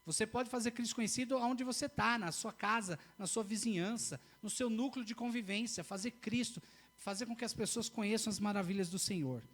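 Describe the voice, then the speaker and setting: A man's voice: sits high, a little fast, fairly bright tone. A man preaching a sermon in church, earnest, repeating key phrases and often restarting mid-sentence.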